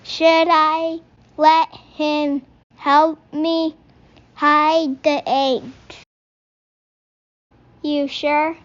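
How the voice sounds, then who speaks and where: A woman voicing a patient but exasperated rabbit instructor from a picture book, speaking in deliberate, halting steps. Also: sits high, level tone, muffled recording. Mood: instructive.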